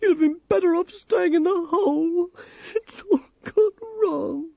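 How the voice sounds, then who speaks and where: A man's voice: very high-pitched, unhurried, gravelly, a little mellow, dry recording, muffled recording, voice pushed up, exaggerated voice. A man voicing a young female gnome leader, desperate, fierce and overwrought, in a storybook reading. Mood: sobbing, despairing.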